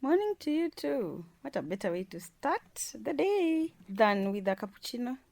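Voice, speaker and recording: A woman's voice: fairly high pitch, very animated, moderate pace, vocal fry, darkish tone, no room sound. A woman acting a bold, self-assured working wife in a radio drama. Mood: friendly, easygoing. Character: bold, defiant, fun-loving working wife.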